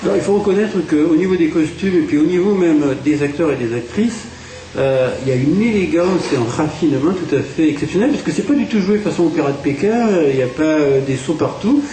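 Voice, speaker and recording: A man from France hosting a radio interview, talking in a relaxed, chatty way. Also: on the high side, a little fast. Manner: conversational, chatty, trailing tags. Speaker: radio host. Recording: distant, echoey room with faint hiss.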